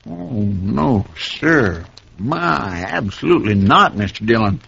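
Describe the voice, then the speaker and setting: A man's voice: mid-range voice, big pitch swings, slow pace, creaky, fairly bright tone, slight background noise, heavily acted. A man with a rural American drawl playing a deferential, folksy marshal's deputy in a 1950s Western radio drama, on a muffled old recording. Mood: meek, folksy.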